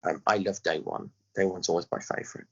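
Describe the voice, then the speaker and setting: An English man talking conversationally as an interview guest, animated in his storytelling. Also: low-pitched, a little fast, husky. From England. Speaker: guest, pantomime director and choreographer. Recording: dull lo-fi audio.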